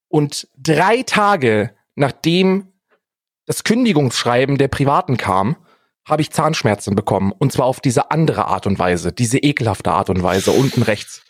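A man's voice: medium pitch, husky, no echo. Man telling self-deprecating stories, dry close sound. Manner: self-deprecating, dramatic exaggeration. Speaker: podcast co-host. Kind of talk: casual personal storytelling.

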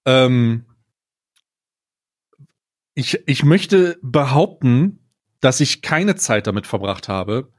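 A man chatting casually as a podcast co-host, deliberate and mock-serious in how he puts things. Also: mid-range voice, lively intonation. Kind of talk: casual chat.

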